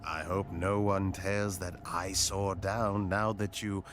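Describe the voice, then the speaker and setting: An actor playing a sly, sinister wish-granting showman in a game cutscene, measured and mock-courteous. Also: sits low, little pitch movement, noisy background.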